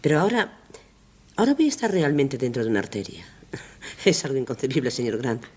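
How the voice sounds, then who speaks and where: An actress in a radio drama playing an earnest, awed doctor with an expressive delivery. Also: female voice, extremely low pitch, a little fast, husky, heavily acted. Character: earnest female doctor, awed and concerned expedition surgeon.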